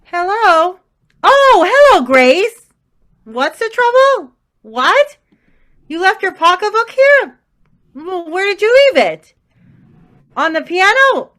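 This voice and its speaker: A woman's voice: very high voice, big pitch swings, takes it slowly, pauses often, fairly bright tone, full character voice. A woman playing a spirited young society lady in an old-time radio crime drama, with a dramatic, exclamatory delivery. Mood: bright, chatty. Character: indignant, frightened but defiant young society woman.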